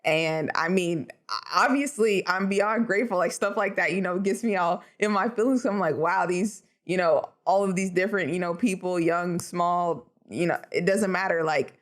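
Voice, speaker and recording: A woman with a somewhat low voice talks casually in an interview. She retells events in a lively way, slipping into other people's words as she goes. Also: normal speed, hardly any pauses. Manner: grateful, animated retelling with quoted dialogue. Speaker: guest gamer.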